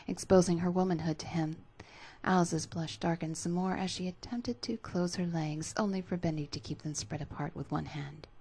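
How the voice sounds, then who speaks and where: A woman's voice: fairly low pitch, mellow, husky. A woman narrating scripted fan fiction as a dramatic reading.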